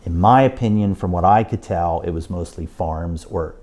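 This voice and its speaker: A man's voice: sits low, normal speed, darkish tone. A man, a local historian, explaining the town's early history in an informal, explanatory way. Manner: informal, explanatory, occasional restarts. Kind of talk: interview explanation.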